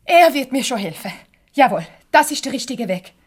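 An actress with a Swiss accent playing an impulsive, aggrieved boss's wife in a radio comedy, theatrical and effusive. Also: female voice, high-pitched, lively intonation, normal speed. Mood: resolute, agitated.

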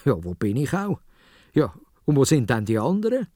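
Man acting flustered husky old Swiss man. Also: mid-range voice, very animated, moderate pace, mellow, dry recording, clean studio sound. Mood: startled, bewildered. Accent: Swiss German. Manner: flustered, questioning.

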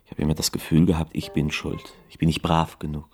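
An actor playing a timid, guilt-ridden young man in love in a radio crime drama, halting and earnest, with a husky voice. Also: a bass voice, completely flat delivery. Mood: sad, wistful. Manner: hesitant, halting, soft-hearted.

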